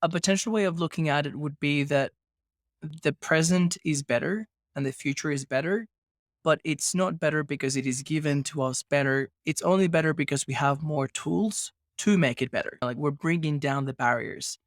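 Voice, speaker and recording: A man's voice: fairly high pitch, moderate pace, dry recording, studio quality. A man hosting a podcast interview, talking through his ideas and building his points with rhetorical questions. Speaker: podcast host. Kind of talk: casual podcast conversation.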